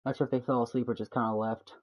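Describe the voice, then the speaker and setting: A man's voice: medium pitch, little pitch movement, racing. A man streaming a game, chatting casually with a friend. He gives short, laid-back replies and swears casually.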